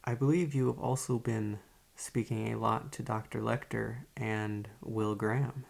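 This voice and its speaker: A man playing a calm, formal, probing psychiatrist in a soft-spoken ASMR roleplay, with a creaky, husky voice and a measured, polite manner. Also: on the low side, heavily acted. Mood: calm, courteous. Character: calm, formal, probing male psychiatrist interviewing a young patient.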